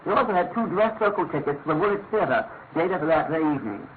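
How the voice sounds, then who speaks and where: A man acts out a British detective's loyal companion in a radio mystery. He rattles off details briskly and plainly at a slightly raised pitch. The recording sounds thin and tinny, with some hiss. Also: lively intonation, close to an everyday voice. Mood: matter-of-fact. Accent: British English. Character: brisk, matter-of-fact male detective's companion reading out case details.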